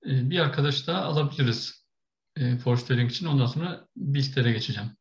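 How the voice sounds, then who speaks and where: Roomy-sounding Turkish moderator. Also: male voice, mid-range voice, fast. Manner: brief, directing turns. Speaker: teacher and moderator. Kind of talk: casual moderation. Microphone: video call. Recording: roomy lo-fi call audio.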